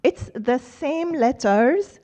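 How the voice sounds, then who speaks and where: A woman's voice: sits high, animated, slow pace, warm tone. A woman at a conference explaining a point step by step with word examples. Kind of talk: discussion at a conference. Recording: dull lo-fi sound.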